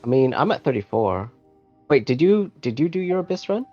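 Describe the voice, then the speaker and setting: A man's voice: mid-range voice, big pitch swings, a little fast, lo-fi sound. A man chatting casually while gaming with friends, rambling and often interrupting himself. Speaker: co-op gaming partner. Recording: game music behind. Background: video game music.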